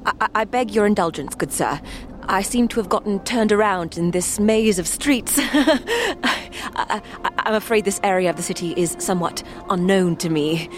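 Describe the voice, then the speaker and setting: A woman voicing a plump male con man who poses as a lost gentleman, stammering and overly polite. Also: mid-range voice, animated, moderate pace, a little hiss or hum, close to an everyday voice. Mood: flustered. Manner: stammering, overly polite old-fashioned speech. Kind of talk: tabletop audio drama.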